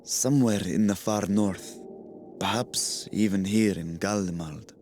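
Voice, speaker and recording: A man acting a solemn, formal figure in a video game cutscene, speaking in a grave, measured way. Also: fairly low pitch, darkish tone, soft background music. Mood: grave, urgent.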